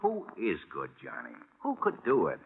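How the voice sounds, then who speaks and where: An actor playing a wry, gruff American police lieutenant in an old radio drama, talking in slangy, matter-of-fact lines. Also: male voice, deep voice, huge pitch swings, normal speed, exaggerated voice. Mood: frustrated, impressed. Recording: tinny, narrow-band vintage radio recording.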